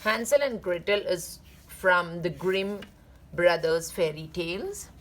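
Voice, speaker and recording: A woman's voice: lowish voice, lively intonation, slow pace, a bit bright. A woman reading a children's storybook aloud, explaining things simply to young listeners.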